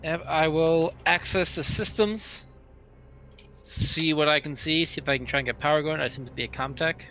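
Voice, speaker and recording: Male tabletop player, slightly high pitch, bright sharp tone, casual delivery describing character actions. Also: fairly even intonation, moderate pace. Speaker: player in a tabletop roleplaying game.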